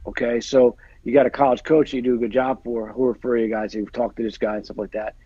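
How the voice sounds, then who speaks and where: Rapid-talking man in his forties, husky. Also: medium pitch, flat delivery, mellow, no echo. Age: 40s. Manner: rambling, repeats phrases, many fillers. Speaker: football agent and guest. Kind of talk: casual interview chat. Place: small room indoors. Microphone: earbuds on a video call. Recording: dull lo-fi video call audio.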